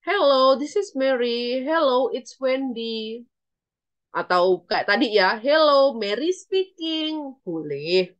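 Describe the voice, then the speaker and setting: A woman's voice: high-pitched, expressive, slow pace, dull audio. A woman with an Indonesian accent teaching an online English class as lecturer, instructively repeating and translating example phrases.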